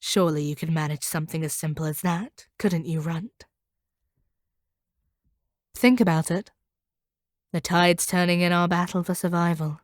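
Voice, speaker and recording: A woman playing a proud, cold monster hunter in an audio roleplay, speaking to a captive in a measured, condescending and domineering way. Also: fairly low pitch, steady tone, dry recording, studio quality, lightly acted. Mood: calculating, condescending. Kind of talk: scripted audio roleplay monologue.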